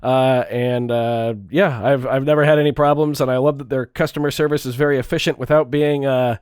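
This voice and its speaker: A man with a stuffed-up nose, talking through a relaxed, chatty walkthrough of his music gear. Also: medium pitch, a little fast, clear, resonant tone, no echo, clean studio sound. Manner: relaxed, chatty, with fillers and small restarts. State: stuffed up, congested. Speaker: music gear video creator. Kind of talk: casual tutorial walkthrough.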